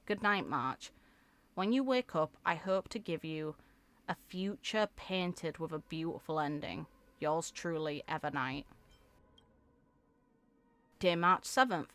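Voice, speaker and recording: A woman reading video game story text aloud in an expressive, theatrical way, as a content creator. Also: on the low side. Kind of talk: reading game lore aloud with reaction asides.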